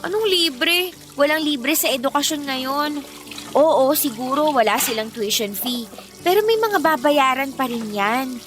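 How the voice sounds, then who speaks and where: A woman's voice: high-pitched, lively intonation, unhurried, a bit bright. A woman with a Filipino accent playing a candid, hard-up eighteen-year-old girl in a radio drama, telling things in an emphatic, conversational way. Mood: resigned, doubtful. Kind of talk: Tagalog radio drama acting. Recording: music bed under the dialogue.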